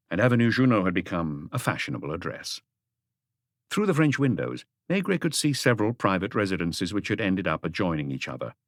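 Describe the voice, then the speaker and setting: A man's voice: low voice, no echo, clean studio sound. A man reading a mystery novel aloud as an audiobook narrator, in a scripted literary style.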